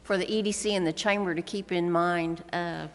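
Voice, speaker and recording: A woman's voice: lowish voice, steady tone, normal speed. A middle-aged woman making informal, slightly rambling remarks as a council member at a public meeting. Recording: echoey meeting chamber with background noise.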